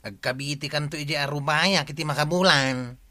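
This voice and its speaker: A man's voice: fairly high pitch, brisk, sharp tone. A man with an Ilocano accent playing a wily village man offering a bargain in a radio comedy drama. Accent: Ilocano, Philippines.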